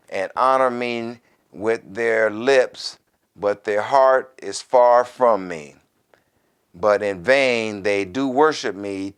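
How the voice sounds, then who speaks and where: A man, a minister, reading scripture deliberately in a preacherly way and adding quieter, conversational commentary. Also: fairly low pitch, smooth. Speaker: minister, Bible teacher.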